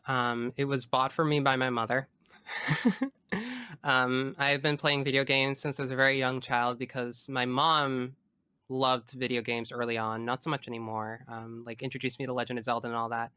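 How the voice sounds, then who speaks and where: A young woman speaks as a guest in a casual interview, with an emphatic, enthusiastic way of putting things. Her voice is bright and smooth, captured close and dry with hardly any room sound. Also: extremely low pitch, flat delivery, normal speed, no room sound. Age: young adult. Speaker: podcast guest. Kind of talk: casual interview chat about video games.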